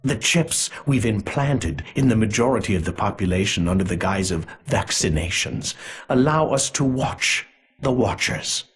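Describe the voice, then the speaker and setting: Husky, sinister conspirator villain. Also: male voice, on the low side, animated. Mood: sinister gloating. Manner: relishing, theatrical, deliberate. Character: sinister, gleefully cruel conspirator man, smugly menacing. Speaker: villain character in a video game. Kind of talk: scripted villain monologue.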